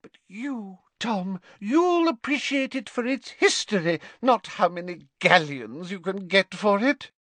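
A man voicing a fussy, vain old rich woman who talks in a shrill, simpering and imperious way. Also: animated, unhurried, few pauses, fairly bright tone, no room sound.

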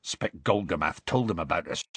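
Gruff, rustic big fellow. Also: male voice, low-pitched, moderate pace, fairly bright tone. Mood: earnest explaining. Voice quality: gruff, growly. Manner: colloquial, rambling, dropped consonants. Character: big gruff rustic man, warm-hearted, indignant storyteller.

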